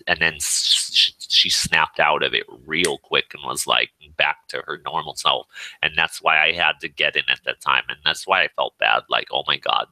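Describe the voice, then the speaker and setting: A man with a very deep voice in casual chat, telling a personal story in an emphatic, rambling way and acting out what was said. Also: gravelly, bright, forceful tone, no echo, rough recording. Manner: emphatic, rambling, acts out quoted remarks. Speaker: participant in an online voice chat.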